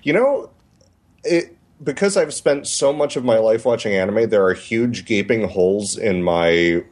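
A man co-hosting a podcast in casual chat, speaking thoughtfully with frequent pauses and restarts. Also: lowish voice, animated, darkish tone. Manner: hesitant, many restarts, thoughtful. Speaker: podcast co-host.

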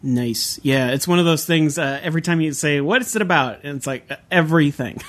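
Male podcast host, fairly high voice, chatty and enthusiastic, quick to laugh. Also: fairly bright tone. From Southern California. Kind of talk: casual podcast chat.

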